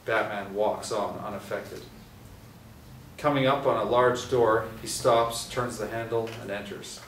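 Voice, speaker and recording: A man with a lowish voice reads stage directions in a steady way, stumbling a little now and then. He sounds like he is in an echoey room, some distance from the mic, with faint hiss behind him. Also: measured pace. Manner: steady, with small restarts. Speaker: script narrator. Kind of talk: reading stage directions aloud.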